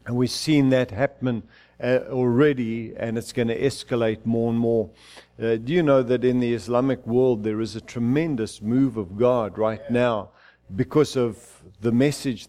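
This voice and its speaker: Fervent, mellow-voiced middle-aged preacher man. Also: medium pitch. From Zimbabwe. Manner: fervent, declaiming, with frequent restarts. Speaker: pastor. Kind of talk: sermon. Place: church.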